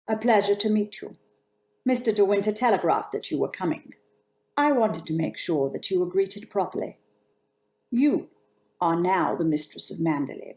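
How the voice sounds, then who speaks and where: A woman's voice: lowish voice, a little mellow. A woman playing a cold, severe head housekeeper, stiffly formal, in an old-time radio drama.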